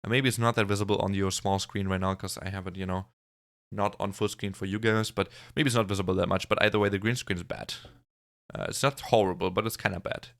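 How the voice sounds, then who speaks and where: A man's voice: low voice, steady tone, fast talker, gravelly, fairly bright tone. A man giving a casual reaction commentary on a music video, rambling and hedging as he points things out. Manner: rambling, observational, hedging with "kinda" and "like". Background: faint music from the video.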